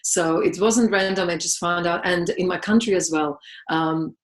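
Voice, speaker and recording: A woman's voice: on the low side, moderate pace. A middle-aged woman from Slovakia with a Slovak accent, chatting with lots of fillers as a guest in an interview. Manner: chatty and animated, with frequent fillers and laughs. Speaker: guest, massage therapist and business owner. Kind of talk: casual interview chat.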